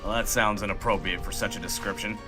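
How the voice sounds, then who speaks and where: A man's voice: on the low side, fairly even intonation, quick pace, music in the background, lightly acted. A man playing a stoic, fatherly snowman army major in an audio comedy, with a commanding military manner. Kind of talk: audio comedy drama war acting.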